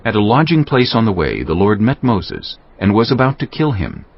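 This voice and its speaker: A man's voice: lowish voice, sing-song, brisk, a little hiss or hum. A man giving scripted scripture narration as the narrator of a dramatized audio production. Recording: muffled, lo-fi.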